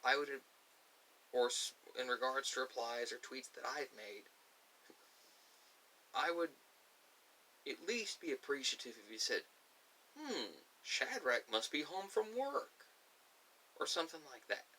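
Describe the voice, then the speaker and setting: A man speaking casually and unscripted to viewers, giving his personal opinions in emphatic, halting, short phrases. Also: medium pitch, big pitch swings, pushed and bright. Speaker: video creator giving personal thoughts. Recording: distant mic with faint hiss.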